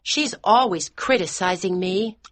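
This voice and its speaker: A woman's voice: mid-range voice, measured pace, fairly bright tone, dry recording, natural voice. A woman voicing a blunt, outspoken small boy with an American accent in audiobook dialogue. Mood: insistent, complaining. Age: child. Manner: blunt, childishly direct.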